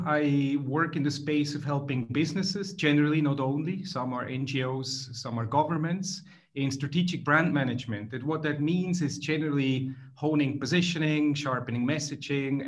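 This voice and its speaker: A middle-aged man from Switzerland with a Swiss accent, answering interview questions thoughtfully over a video call, often restarting phrases. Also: mid-range voice. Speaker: guest author. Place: plain room indoors.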